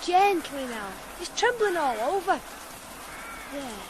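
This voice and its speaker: High-voiced farm lad. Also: very animated, slow pace, noisy background, full character voice. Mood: soothing, urgent. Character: teenage farm lad, earnest, stubborn, homesick. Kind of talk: radio drama acting.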